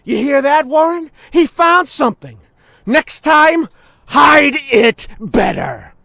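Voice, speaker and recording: An unhinged veteran cop with a high-pitched voice that swings wildly. He is mocking and theatrical, bullying like a game villain, moving from soft menace to shouts. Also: sing-song, slow pace, vocal fry, exaggerated voice. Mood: furious, shouting. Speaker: voice actor in a video game. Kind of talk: dramatic video game cutscene acting.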